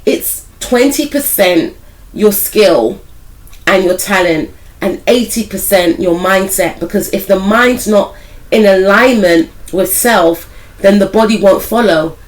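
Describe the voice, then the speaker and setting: A woman with a croaky voice, still recovering from a cold, giving a casual, rambling tarot reading and speaking straight to her viewers, with many hedges about what she feels. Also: mid-range voice, takes it slowly, low-quality recording. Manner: speaks directly to viewers as "some of you". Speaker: tarot reader and spiritual life coach.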